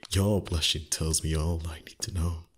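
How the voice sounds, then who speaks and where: A man voicing an ancient, proud dragon, gruff but secretly tender, with haughty, teasing, formal speech in a roleplay ASMR performance. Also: very deep voice, flat delivery, moderate pace, a little hiss or hum. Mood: cynical, teasing.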